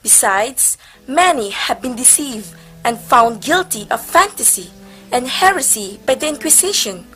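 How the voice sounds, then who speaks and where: A woman's voice: sits high, expressive, a little slow, sharp tone, soft background music, exaggerated voice, poor audio. A woman playing a haughty, suspicious nun in a stage play, with scornful and emphatic delivery. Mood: suspicious, disapproving. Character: haughty, suspicious nun sneering at Teresa's arrogance. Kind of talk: amateur stage play acting.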